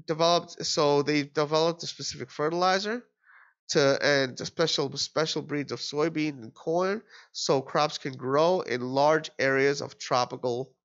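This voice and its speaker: A man's voice: on the high side, a bit bright. A young man tutoring an exam problem in a casual, think-aloud way, a little nasal and stuffy from allergies. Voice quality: slightly nasal. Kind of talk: screen-recorded exam walkthrough. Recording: slightly dull lo-fi sound.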